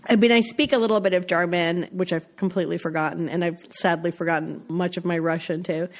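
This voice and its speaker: A woman's voice: fairly low pitch, steady tone, fast talker. A middle-aged woman, a foreign correspondent, chatting casually as a podcast guest and laughing often between her points. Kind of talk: casual interview chat.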